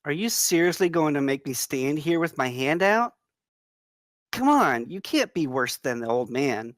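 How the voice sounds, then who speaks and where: Woman acting lively, teasing romantic lead. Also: low voice, a little slow, no echo, clean studio sound. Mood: teasing, affectionate. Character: warm, teasing, graceful woman on a date. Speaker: actor in a screenplay table read. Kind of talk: acted screenplay table read.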